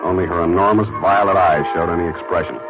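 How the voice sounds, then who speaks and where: An old, muffled radio broadcast with prominent music features an actor as a male hard-boiled detective. His voice sits fairly low, and he talks in a dry, sardonic, unflappable way, as in a 1940s crime serial. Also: fairly even intonation, fast talker, close to an everyday voice. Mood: cool narration. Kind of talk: 1940s radio detective drama, dialogue and narration. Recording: dull old radio recording.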